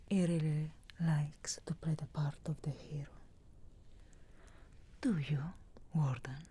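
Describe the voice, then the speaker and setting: A woman voicing a sharp-tongued, sarcastic but reluctantly caring witch in a slow, breathy, whispered ASMR fantasy roleplay. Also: low-pitched, heavily acted. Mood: mocking, wry. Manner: slow, deliberate, dry sarcastic teasing.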